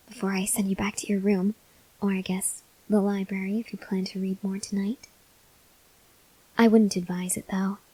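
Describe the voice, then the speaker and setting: A woman playing a gentle, awkward, hungry female vampire in an ASMR roleplay, speaking softly and hesitantly with breathy near-whispers and tender reassurance. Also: medium pitch, no echo, natural voice, poor audio. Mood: caring, grateful. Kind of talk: ASMR roleplay, intimate address to the listener.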